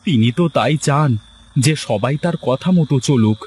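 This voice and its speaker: A man's voice: medium pitch, normal speed, a little mellow, slight background noise, natural voice. A man voicing a poor village fisherman and husband in a cartoon story. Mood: weary, resigned. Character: poor village fisherman husband, humble, worried, later cocky. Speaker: cartoon character voice. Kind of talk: Bengali cartoon story dialogue.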